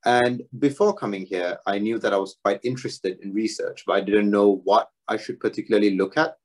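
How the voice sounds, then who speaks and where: Young man from Singapore, low voice, relaxed research presenter with fillers and dry self-mockery. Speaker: doctoral student presenter. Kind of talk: academic research talk. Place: home room, video talk.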